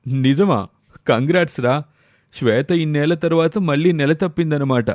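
A man's voice: fairly high pitch, normal speed, a little mellow, dry recording, natural voice. A man voicing a curious older male friend in a dramatised story, bantering and exclamatory. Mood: curious, amused.

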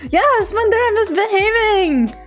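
Young woman VTuber, high-pitched, giggly and playful, casual chat with viewers at a home desk mic. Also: expressive, unhurried, faint music behind. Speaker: VTuber streamer. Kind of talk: casual chat reading chat messages.

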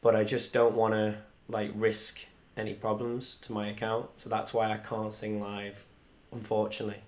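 A man's voice: lowish voice, monotone, moderate pace, darkish tone. A young man, casually chatting to viewers on a live stream from home, reading out comments. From Wales. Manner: chatty, rambling, reads viewer comments aloud. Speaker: musician hosting a live stream. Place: small room indoors at home. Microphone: desk microphone in front.

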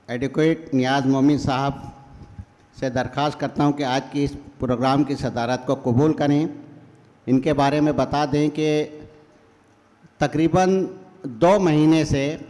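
A man's voice: medium pitch, a little mellow. An older man acting as emcee at a school celebration, introducing guests in a formal, courteous tone at a podium mic. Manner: measured, courteous, reads from notes. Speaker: event emcee. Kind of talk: formal Urdu stage announcing. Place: large hall, at a podium. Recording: reverberant hall.

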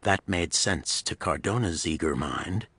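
A man narrating a pulp thriller as scripted storytelling, in a dramatic, measured manner. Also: deep voice, expressive, fairly bright tone, husky, no echo.

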